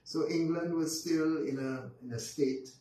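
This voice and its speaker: A man in his eighties with a Barbadian accent, telling stories of his life as a guest in an unhurried way, often pausing with ums and restarting. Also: a little high, steady tone, dark tone. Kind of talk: reminiscing storytelling in conversation. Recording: roomy echo, distant mic, faint noise.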